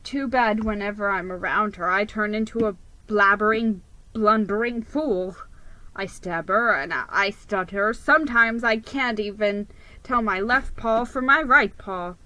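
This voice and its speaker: Bright-voiced woman reading a story dramatically. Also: medium pitch, measured pace, rough recording. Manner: theatrical, expressive. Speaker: story reader.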